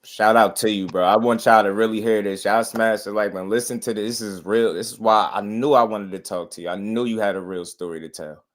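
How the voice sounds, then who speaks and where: An American man with a low-pitched voice hosts a boxing interview show. He speaks casually and colloquially, affirming and encouraging as he interviews. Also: fairly even intonation, brisk, few pauses.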